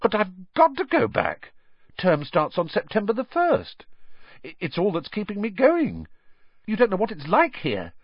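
A man's voice: high voice, wildly swooping pitch, a little slow, husky, no room sound, muffled recording, voice pushed up, full character voice. A man voicing an anxious, earnest twelve-year-old boy who stammers when startled. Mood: desperate. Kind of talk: audiobook character voice.

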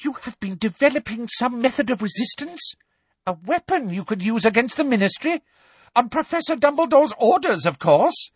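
A narrator putting on a bossy middle-aged official lady with a harsh, sharp, commanding bark. The voice is pitched high and swings widely, slightly husky and dry, in a storybook reading. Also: big pitch swings, moderate pace, no room sound. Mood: harsh eager. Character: middle-aged female official, domineering, falsely sweet. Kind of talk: audiobook acting.

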